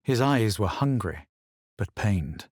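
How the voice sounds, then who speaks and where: A low-voiced, haughty fantasy hero. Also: male voice, animated, takes it slowly, dry recording, clean studio sound. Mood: measured, superior. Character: haughty, self-assured male fantasy adventurer hero. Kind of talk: video game character voice lines.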